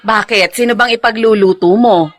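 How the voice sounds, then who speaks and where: An actress playing a chatty, good-natured market vendor in a Filipino drama, teasing and familiar. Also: female voice, a little high, normal speed, close to an everyday voice. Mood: teasing, friendly. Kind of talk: Filipino radio-style drama acting.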